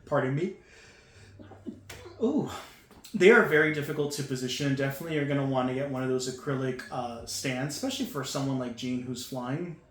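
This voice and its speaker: A man's voice: mid-range voice, a little fast, a bit bright. A man giving casual, enthusiastic unboxing commentary, gushing over each piece with lots of fillers. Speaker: toy reviewer. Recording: echoey room with faint hum.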